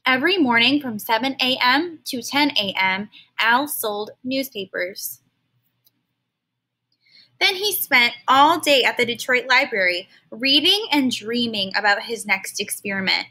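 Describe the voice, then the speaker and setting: A woman reading a children's story aloud like a teacher, expressive and stopping now and then to explain words in simple terms. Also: a little high, unhurried.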